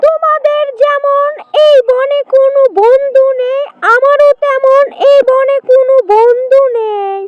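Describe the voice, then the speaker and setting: A woman's voice: extremely high pitch, steady tone, measured pace, lo-fi sound, very noisy, voice pushed up. A woman voicing a sly, greedy, wheedling fox in a children's cartoon story. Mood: coaxing. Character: sly, wicked, greedy fox who tricks rabbits.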